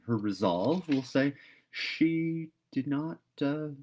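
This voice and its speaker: A young man hosting a read-aloud, moving between casual chat and measured storybook reading. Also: lowish voice. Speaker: host reading a novel aloud.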